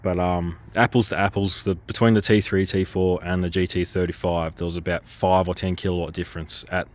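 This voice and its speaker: A very low-voiced man walks through his car projects in a casual vlog. He is coming down with a cold and his voice is a little worn. He talks in a loose, rambling way, full of ums and restarts. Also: little pitch movement, fairly quick. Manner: rambling, hesitant, lots of ums. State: getting a cold, losing his voice. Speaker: car vlogger.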